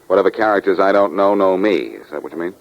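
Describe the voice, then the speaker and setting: A man's voice: deep voice, fast talker, vocal fry. A man playing a confident, wisecracking amateur sleuth in the cool, teasing style of 1940s radio detective drama. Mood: cool, wry. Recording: dull old 1940s radio recording.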